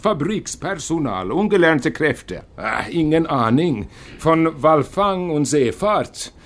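An actor playing an evasive, stubborn ship's first officer with a Scandinavian accent and clipped, broken grammar in a radio drama. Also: male voice, on the high side, sing-song, takes it slowly. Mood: evasive, defensive.

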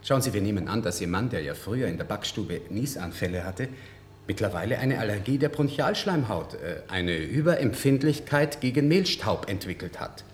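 A man acts out a breezy, commanding clinic doctor in a radio play. The delivery is very animated, dropping low and swooping up as he instructs. Faint noise lies behind the voice. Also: natural voice. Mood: blunt, persuading. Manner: jovial, brisk, instructing. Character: breezy, bossy, joking male clinic doctor. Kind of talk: German radio play acting.

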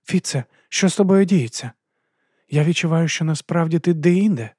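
A tart young minstrel woman with a high, lifted voice, acted by a male reader. She replies briskly and bluntly. The sound is dry and close. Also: lively intonation, a little mellow, husky, dry recording.

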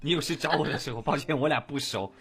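A man's voice: on the high side, fast, a bit bright. A man chatting casually as a guest, dry and mock-grumbling. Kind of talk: casual chat.

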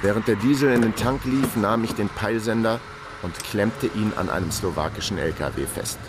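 A man's voice: lowish voice, moderate pace, noisy background, natural voice. A man playing a brooding, self-mocking detective who narrates a crime radio drama in a laconic way. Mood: brooding, matter-of-fact. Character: wry, brooding down-on-his-luck male detective.

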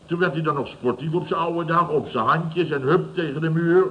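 An actor playing a boastful, boisterous builder boss who tells stories in a repetitive, blustering way in a radio comedy. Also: male voice, on the high side, normal speed, a crowd in the background, natural voice. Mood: smug. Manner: blustering, repetitive storyteller acting out quotes. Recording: dull old radio recording, studio audience.

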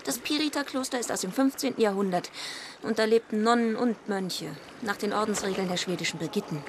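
An actress in a radio drama playing an inquisitive, fond deaconess who also narrates the story intimately. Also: female voice, mid-range voice, brisk, slight background noise, close to an everyday voice. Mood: eager, informative.

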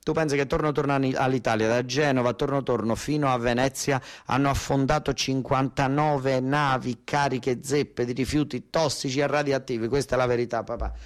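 A man's voice: mid-range voice, steady tone, brisk, hardly any pauses, lightly acted. An actor playing an agitated southern Italian son, a worker from Basilicata pleading with his father in a theatrical dialect monologue, repeating himself and stumbling over words. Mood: indignant, urgent.

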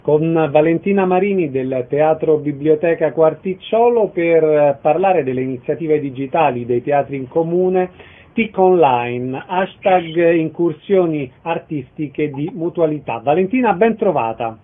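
A man with a fairly high voice hosts a radio interview. He presents and questions his guests in the manner of a radio host. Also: animated, measured pace, phone-quality sound.

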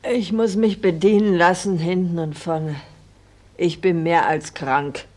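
Plaintive old Silesian weaver's wife. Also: female voice, fairly low pitch, fairly even intonation, unhurried, darkish tone. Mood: plaintive despair. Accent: Silesian German. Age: older. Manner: lamenting, plaintive. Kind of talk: dialect radio drama acting.

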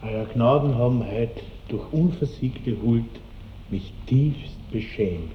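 Actor as slow, ceremonious baron in echoing hall. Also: male voice, medium pitch, natural voice. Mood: obsequious. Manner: florid, ceremonious. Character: pompous baron, ceremoniously flattering nobleman. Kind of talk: 1960s German radio drama acting. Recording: dull, echoey hall, faint noise.

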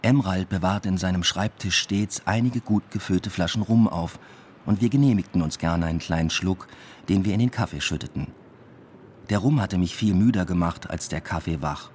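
An actor playing a weary, introspective academic who tells his own story in measured radio drama narration. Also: sits low, a little mellow, slight background noise, natural voice. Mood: reflective, weary. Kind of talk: scripted first-person radio drama narration.

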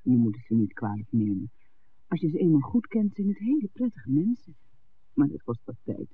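An actress playing a lively, romantic young village barmaid in a 1960s Dutch radio drama. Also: female voice, low voice, normal speed, narrow, tinny audio, slight background noise. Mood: tender, earnest. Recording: thin vintage 1969 radio recording.

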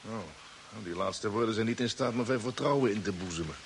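A man acting in a radio play as a wary, earnest spaceship commander who asks probing questions in an expressive way. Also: lowish voice, brisk, natural voice. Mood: alarmed, doubtful. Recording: hissy old radio broadcast, faint noise.

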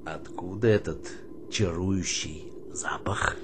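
An actor playing an older army colonel in a radio play, ironic and mock-courteous, with a theatrically polite manner. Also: male voice, deep voice, slow, in a whisper. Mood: ironic courtesy.

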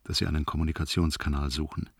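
A lone astronaut in a science fiction radio drama. His voice is extremely low and gravelly, recorded dry and close. He speaks in a measured, sombre, resigned way. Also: flat delivery, dry recording, heavily acted. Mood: sombre, reflective. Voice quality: gravelly, husky. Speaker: actor in a radio play. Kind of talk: German radio drama, log-entry monologue.

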